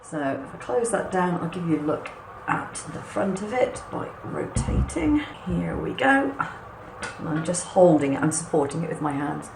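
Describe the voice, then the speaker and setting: Husky hoarse woman, low voice, chatty craft tutorial, echoey room w/ a bit of noise. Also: sing-song, normal speed. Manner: chatty and reassuring, explains step by step. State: hoarse and husky after a cough. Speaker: craft channel host. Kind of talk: hands-on product walkthrough.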